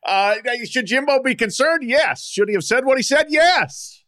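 A man's voice: extremely high pitch, lively intonation, normal speed, sharp tone. A man co-hosting a sports podcast, making his points emphatically in casual chat. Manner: emphatic, argumentative. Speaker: podcast co-host.

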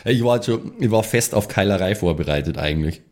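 A man's voice: sits low, animated, fast, darkish tone. A man chatting casually as a player in an improvised tabletop roleplay game. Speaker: role-playing game player.

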